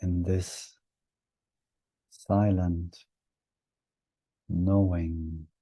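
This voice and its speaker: A man leading a guided meditation in a gentle, hushed manner, leaving long pauses between short phrases. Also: low voice, level tone, husky, no echo.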